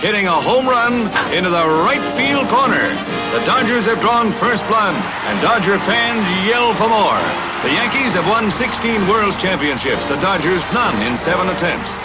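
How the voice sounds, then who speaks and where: An American man announces sports in classic 1950s newsreel fashion, his voice pitched high. The scripted delivery is punchy and dramatic. Loud music plays under the vintage soundtrack. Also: lively intonation, normal speed, a loud crowd, rough recording. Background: loud music under the narration.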